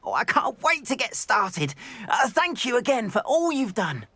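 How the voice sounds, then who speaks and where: A woman playing a gentle, grateful, effusive goblin merchant in a video game, with a husky voice. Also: fairly high pitch, very animated, moderate pace, no echo. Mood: relieved, delighted. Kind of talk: dramatic video game dialogue.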